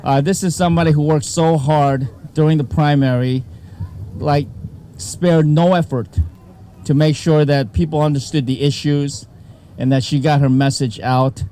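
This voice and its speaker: A man's voice: a little high, fairly even intonation, moderate pace, mellow, a little hiss or hum. A man speaking with enthusiasm and emphasis, introducing and praising a local candidate. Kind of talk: campaign speech.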